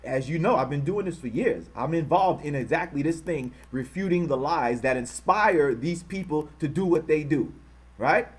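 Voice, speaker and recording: An American man hosting a live-streamed commentary, passionately hammering repeated rhetorical questions and pausing to thank viewers. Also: on the high side, lively intonation, slightly rough. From United States. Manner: impassioned, repetitive rhetorical questions. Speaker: online channel host.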